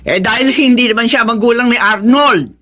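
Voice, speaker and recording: A woman's voice: on the high side, normal speed, a bit bright. An actress playing a selfish, nagging middle-aged mother in a Filipino radio drama. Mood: dismissive.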